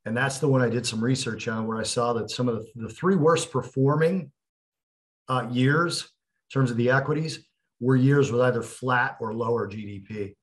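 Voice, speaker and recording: A man's voice: medium pitch, steady tone, normal speed. A man co-hosting a podcast over a video call, asking long, rambling, explanatory questions with fillers. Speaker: podcast co-host. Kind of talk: casual interview questions.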